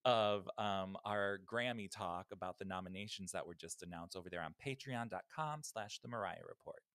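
A man's voice: low-pitched, normal speed, fairly bright tone, no echo. A man co-hosting a podcast in casual, gossipy chat, with campy emphatic repetition, on a close microphone. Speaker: podcast co-host. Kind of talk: casual chat about pop music news. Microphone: close podcast microphone, video call.

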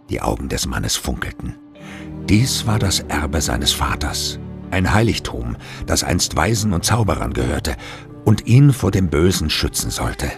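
A man narrating a horror thriller audio drama in a grave, gravelly, measured voice. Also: exaggerated voice. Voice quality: very deep, gravelly.

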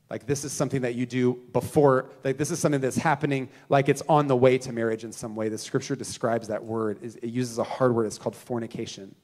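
Pastor in his thirties, earnest preaching, swings loud to hushed, average pitch, live on a church stage. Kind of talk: sermon. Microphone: handheld mic.

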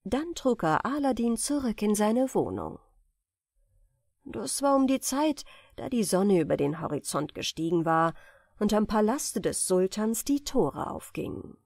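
Expressive woman storyteller, lively intonation. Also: medium pitch, measured pace, vocal fry, no room sound, clean studio sound. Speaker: audiobook narrator. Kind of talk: scripted fairy-tale narration.

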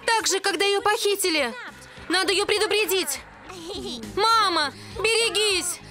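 Young angel girl, very high voice, earnest and anxious, music behind. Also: unhurried, bright, forceful tone, full character voice. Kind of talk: dubbed cartoon acting.

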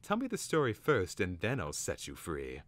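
A man's voice: low voice, wildly swooping pitch, normal speed, a bit bright, no room sound, exaggerated voice. A man voicing an earnest, stubborn young lad in a storybook, speaking in an insistent, plain way.

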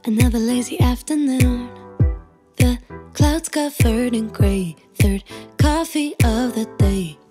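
A woman's voice: fairly high pitch, takes it slowly. A woman singing a light, airy pop song. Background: loud backing music.

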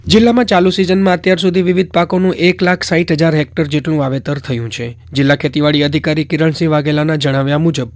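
A man's voice: on the high side, steady tone, quick pace, few pauses, faint music behind. A man with a Gujarati accent reading scripted news as a presenter.

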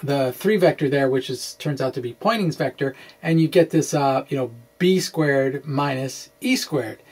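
A man in his forties with a medium-pitched voice presents physics to camera. His delivery is quirky and animated, moving between theatrical reading and informal, hesitant explanation. He sounds like an independent science blogger talking in a small room. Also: normal speed, breathy edge. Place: small indoor room with bookshelves.

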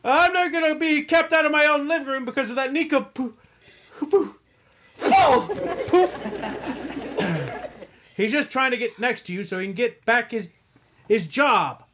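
Grumpy, sputtering father, slow delivery. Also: very high voice, lively intonation, noisy background. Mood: blustering, irritated. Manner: sputtering, stammering bluster. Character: grumpy, blustering, stubborn old father. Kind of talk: old-time radio sitcom acting.

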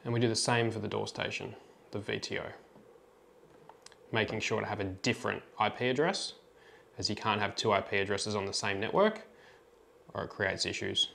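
A man's voice: on the low side, moderate pace, creaky, long pauses, fairly bright tone, slight background noise. A man presenting an informal tutorial, talking through a product demonstration step by step in a plain, instructional way.

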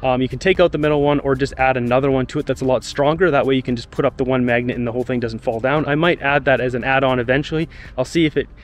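A man's voice: medium pitch, rapid-fire, hardly any pauses. A young man casually explaining a do-it-yourself car project outdoors, recorded on a handheld camera. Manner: relaxed, explanatory, with fillers. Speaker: vlogger. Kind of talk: casual how-to demonstration. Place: outdoors beside a parked car on a residential street. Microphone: action camera held at arm's length.

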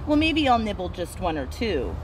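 A woman's voice: lowish voice, big pitch swings. Woman playing a fussy, emphatic little girl, outdoors. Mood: won over. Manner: emphatic, declarative, drawn-out refusals. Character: small, fussy, stubborn little girl, funny picky eater. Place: outdoors in a garden. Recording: outdoor ambient noise.

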